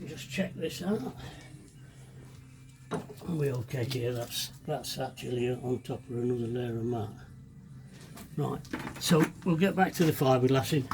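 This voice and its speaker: A man's voice: medium pitch, unhurried, long pauses, noisy background. A man giving a casual hands-on DIY tutorial, chatty and self-deprecating as he thinks aloud while working, slightly sniffly with a cold. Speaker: DIY video maker demonstrating fibreglassing.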